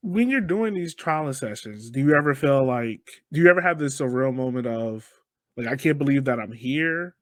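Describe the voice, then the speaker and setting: A man's voice: medium pitch, animated, normal speed, no room sound. A man hosting a podcast, asking questions in a casual, conversational way. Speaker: podcast host.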